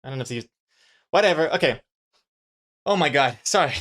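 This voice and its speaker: A comic artist streams live and chats casually with viewers in a mid-pitched voice. The accent is mixed, not quite New Zealand. The talk rambles along as the artist thinks aloud. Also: animated, fairly quick, a bit bright. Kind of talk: casual livestream chat with viewers.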